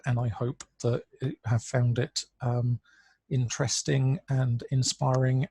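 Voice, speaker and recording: Husky, gravelly-voiced male conference speaker. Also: mid-range voice. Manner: relaxed, conversational, with fillers. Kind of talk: online conference talk. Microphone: video call.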